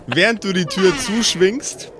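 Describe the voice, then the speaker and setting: Male game master, slightly high voice, improvised tabletop narration, deliberate dramatic pacing of descriptions. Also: expressive, crowd noise.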